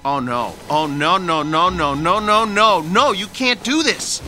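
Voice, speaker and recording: An actor playing an anxious sidekick in a radio drama, talking in a nervous, pleading, stammering way. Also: male voice, high voice, lively intonation, unhurried, sharp tone, slight background noise, exaggerated voice.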